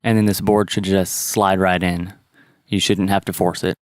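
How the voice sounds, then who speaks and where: A man's voice: deep voice, level tone, normal speed, darkish tone, clean tone, dry recording, clean studio sound. A man narrating a step-by-step repair tutorial in a plain, instructional manner. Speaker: how-to presenter.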